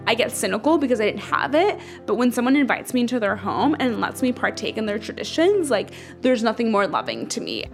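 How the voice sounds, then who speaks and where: A woman's voice: a little high, a bit bright. Young woman talking, full of "like". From Ethiopia. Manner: earnest, says "like" constantly. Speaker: interviewee. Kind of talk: casual reflective chat.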